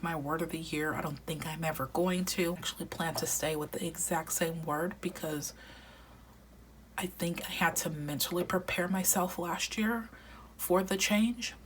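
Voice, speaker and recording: A low-pitched woman in her thirties talks through her planner in a relaxed, rambling way, slipping into hushed near-whispers as she works. Her voice has turned slightly raspy from recording all day. A steady hiss of background noise sits under the home recording. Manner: chatty, reflective, drifts into soft half-whispers. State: voice getting raspy from long recording. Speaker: planner vlogger. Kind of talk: casual plan-with-me chat.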